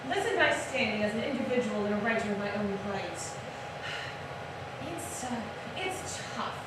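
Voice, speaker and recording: A woman's voice: a little high, sing-song, normal speed. A woman playing an arch, eccentric genteel mother. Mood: wry. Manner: theatrical, arch and wry. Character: eccentric genteel mother, mannered and faintly sinister. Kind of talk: live stage play. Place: theater stage. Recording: echoey theater stage from far off, noisy.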